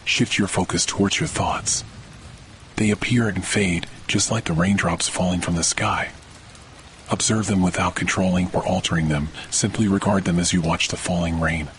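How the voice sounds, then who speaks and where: Very deep-voiced man guiding a sleep meditation. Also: fairly even intonation, slight background noise, poor audio. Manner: slow, soothing, hushed delivery. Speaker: meditation guide. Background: faint rain sounds.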